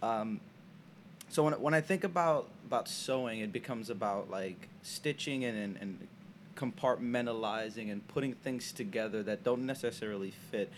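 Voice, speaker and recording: A man's voice: fairly low pitch, a little hiss or hum. Hesitant young man from Senegal. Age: young adult. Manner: halting, many restarts and fillers. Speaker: art student guest. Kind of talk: casual interview chat. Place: small college radio booth.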